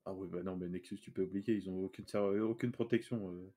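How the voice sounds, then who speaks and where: A man's voice: sits low, steady tone, brisk, mellow. A man chatting casually on a voice chat, blunt and argumentative. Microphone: voice chat over computer mic.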